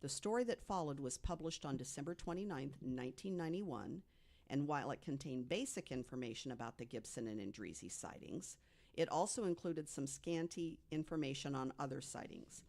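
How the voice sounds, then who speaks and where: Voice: mid-range voice, animated, fairly quick, vocal fry, darkish tone. A podcast panelist narrating a researched true story to co-hosts, reading from notes and correcting the odd slip. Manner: reads from notes, corrects slips.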